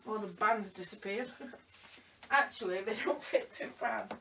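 A woman's voice: mid-range voice, unhurried, creaky, bright, forceful tone, slight background noise. A tired woman chatting playfully in a try-on vlog, teasing someone off camera. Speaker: vlogger. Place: bedroom indoors. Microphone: camera across the room. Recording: voice from a distance.

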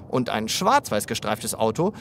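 A woman's voice: a bass voice, very animated, measured pace, slight background noise, heavily acted. A woman voicing a cheeky, playful talking zebra in a children's radio play, exclamatory and teasing.

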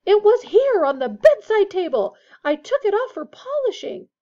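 A pompous teenage boy from a storybook, acted out by a woman. He speaks loftily and formally, with great dignity. The pitch is raised and the recording a little dull. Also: moderate pace, darkish tone, natural voice. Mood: angry. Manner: lofty and formal.

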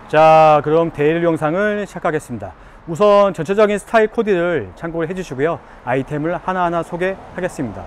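A man's voice: fairly high pitch, brisk, few pauses. A man, presenting a product review to camera as a video host. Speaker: fashion review YouTuber. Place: outdoors by a concrete wall on an urban street.

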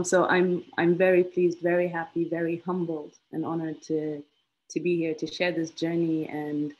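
A woman in her thirties from Egypt, a guest on an online interview, telling her story in a lively way with frequent laughs and repeated phrases for emphasis. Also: low-pitched, highs cut off. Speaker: guest, institute alumna. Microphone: video call.

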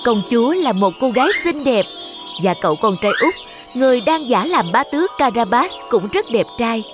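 A woman's voice: a little high, animated, normal speed. A woman reading scripted fairy-tale narration for children. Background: background music.

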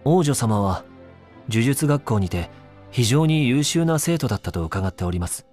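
An actor playing a courteous, inquisitive newspaper reporter in a Japanese video game, a man with a measured way of talking. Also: medium pitch, lively intonation, a little mellow, faint music behind, lightly acted. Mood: courteous, probing. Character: courteous, probing young newspaper reporter interviewing royalty.

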